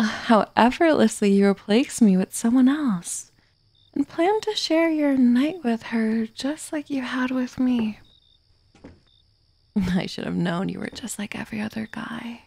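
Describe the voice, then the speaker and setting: A woman playing an obsessive, vengeful murderous writer in an ASMR roleplay, sardonic and menacing, slipping into breathy whispers. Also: mid-range voice, a little mellow, dry recording. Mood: bitter, scornful. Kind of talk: ASMR roleplay, dramatic monologue.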